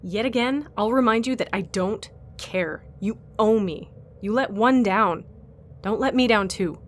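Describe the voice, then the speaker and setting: A woman's voice: mid-range voice, measured pace, natural voice. A woman playing a bitter, self-preserving captive fighter in an audio drama, blunt, demanding and sardonic. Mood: demanding, cold.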